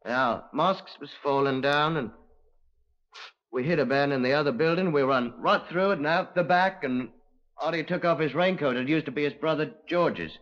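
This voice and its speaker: An actor in a 1950s radio drama playing a dim, meek young crook, hesitant and deferential, with ungrammatical speech. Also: male voice, fairly high pitch, moderate pace, a bit bright, natural voice. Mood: nervous, deferential. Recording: dull lo-fi 1950s radio recording.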